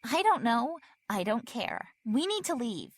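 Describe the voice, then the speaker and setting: A woman's voice: fairly high pitch, very animated, a little slow, dry recording. A woman playing an injured, sarcastic teenage mean girl in an audio drama, biting and demanding. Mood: urgent, tense.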